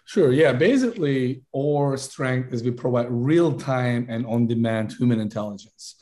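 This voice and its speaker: A man from Turkey, a founder making a fluent business pitch in a podcast interview, correcting himself on the fly. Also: unhurried, warm tone. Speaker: startup founder guest.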